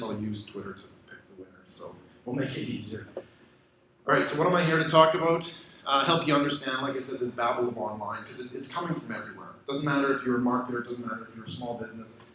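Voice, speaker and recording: A man's voice: medium pitch, fairly bright tone. A Canadian man giving a conference presentation, talking energetically with rhetorical questions and plenty of fillers. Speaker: conference speaker. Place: conference room. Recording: very echoey room with faint noise.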